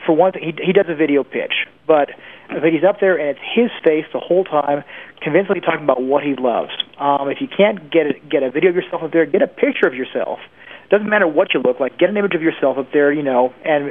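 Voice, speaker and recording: A man speaking as a guest expert in an interview, giving conversational advice with examples over a phone line. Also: a little high. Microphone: telephone. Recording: tinny phone line on radio.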